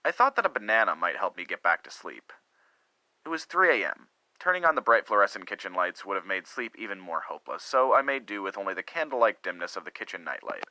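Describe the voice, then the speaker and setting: A man playing a guilt-ridden, lovesick middle-aged husband in an earnest, theatrical confession with dramatic pauses, like a staged reading of a story. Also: fairly low pitch, big pitch swings, bright, forceful tone, no room sound, heavily acted, low-quality recording. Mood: brooding, guilty. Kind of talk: melodramatic dramatic reading of a first-person confession.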